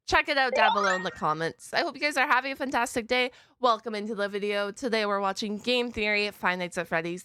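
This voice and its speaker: A woman hosting a reaction video in a casual, chatty style, expressive and quick to interject. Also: on the high side, clean tone, dry recording, clean studio sound. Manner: expressive, exclaims and interjects often. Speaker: host of a reaction channel.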